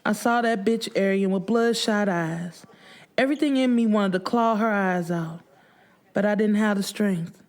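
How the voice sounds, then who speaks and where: A woman's voice: mid-range voice, moderate pace. A woman narrating first-person fiction as an audiobook, with emotive, sorrowful delivery.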